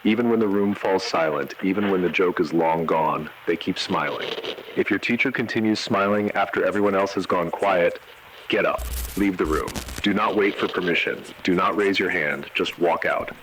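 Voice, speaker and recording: A man voicing a grave emergency announcer in an analog horror video, giving measured, solemn warnings. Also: sits low, fairly bright tone, slight background noise, low-quality recording. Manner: measured, ominous, short solemn sentences. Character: grave male emergency broadcast announcer issuing eerie warnings. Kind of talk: analog horror scripted narration.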